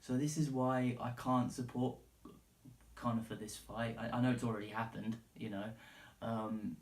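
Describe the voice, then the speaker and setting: A man's voice: medium pitch, level tone, moderate pace. A man with an English accent talking casually and unscripted as a solo podcast host, rambling, informal and sweary. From England. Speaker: podcast host giving his opinion. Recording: roomy, echoey, distant from the mic.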